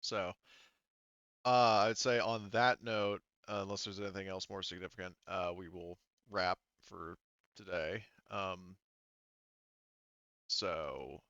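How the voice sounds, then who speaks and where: A man's voice: sits low, fairly even intonation, slow, pauses often, fairly bright tone, no room sound. A man acting as game master, casually and somewhat hesitantly wrapping up a tabletop session over a slightly dull recording.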